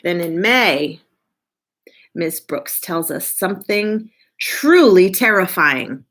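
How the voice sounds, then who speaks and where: Animated woman reading a storybook, unhurried. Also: on the low side. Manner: animated, drawn-out, deliberate delivery. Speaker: children's librarian reading aloud. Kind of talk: expressive picture book read-aloud for children.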